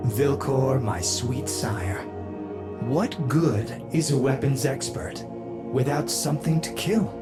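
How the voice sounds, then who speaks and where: An actor playing a sardonic, morbidly playful son in a video game, with a theatrical, drawn-out delivery. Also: male voice, medium pitch, over loud music, close to an everyday voice. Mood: mocking, gleeful. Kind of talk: dramatic video game character acting.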